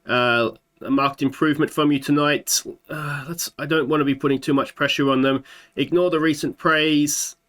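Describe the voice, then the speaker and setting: A man's voice: a little high, fairly even intonation, normal speed, a bit bright. Australian man, thirties, slight sore throat, let's play commentary, thinking aloud, desk mic. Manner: chatty, thinks aloud with fillers. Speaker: gaming YouTuber.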